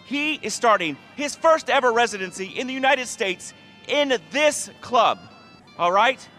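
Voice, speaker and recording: An actor playing a desperate, boastful wannabe celebrity DJ in a video game, babbling and bragging in a needy way. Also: male voice, extremely high pitch, animated, unhurried, bright, forceful tone, faint music behind, full character voice. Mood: hyped announcing. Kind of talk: video game cutscene acting.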